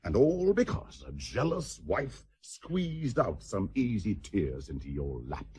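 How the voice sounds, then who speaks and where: A worldly, prudish aristocrat in a radio play. His voice is low and very husky, with sweeping intonation. He speaks formally, with sardonic exasperation. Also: very animated, slow pace, darkish tone, heavily acted. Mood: stern, urgent. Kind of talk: British stage-play radio acting.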